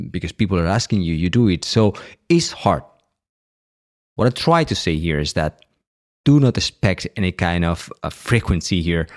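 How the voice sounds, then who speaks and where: A middle-aged man with a Catalan accent, speaking English as a second language in a halting solo monologue with many restarts and fillers. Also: sits low, a little mellow. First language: Catalan and Spanish. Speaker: podcast host.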